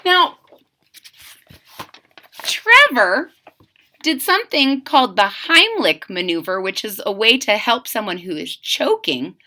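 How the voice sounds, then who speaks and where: A woman reading a picture book aloud in a theatrical, drawn-out way, coaxing young listeners with questions and explanations. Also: fairly high pitch. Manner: animated, theatrical, drawn-out emphasis; coaxing, explaining asides to children. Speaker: children's story reader and channel host.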